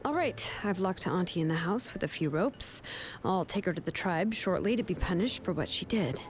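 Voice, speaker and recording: An actress playing a calm, confident woman in a video game, speaking in a measured, earnest way. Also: fairly low pitch, lightly acted. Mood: relaxed. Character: calm, firm adult woman, confident leader. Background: faint game music.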